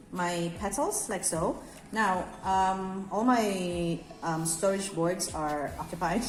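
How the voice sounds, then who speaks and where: A Filipino-accented woman, a cake decorating instructor, talks viewers through a hands-on craft tutorial in a conversational, instructive way. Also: lowish voice. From Bacolod, Philippines. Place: indoor room, echoey. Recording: echoey room with music behind.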